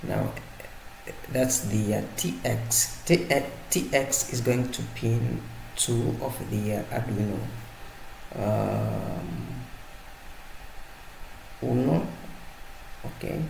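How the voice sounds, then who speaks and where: A man giving a casual, unscripted hands-on tutorial as presenter, halting as he searches for words and repeats himself. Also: mid-range voice, creaky, darkish tone. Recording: roomy echo, faint background noise.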